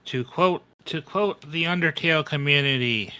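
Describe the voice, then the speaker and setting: A man playing a tabletop game, talking casually and haltingly, repeating words as he thinks aloud. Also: fairly high pitch, sharp tone, clean tone, low-quality recording. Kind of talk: casual roleplay and table chat.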